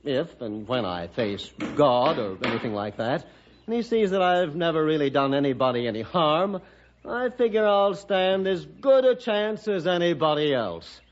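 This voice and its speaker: A man's voice: on the high side, sing-song, normal speed. An actor playing a glib, cynical, money-minded young man in an old radio drama, breezy and dismissive. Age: young adult. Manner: breezy, dismissive, self-satisfied. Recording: dull, noisy old radio recording.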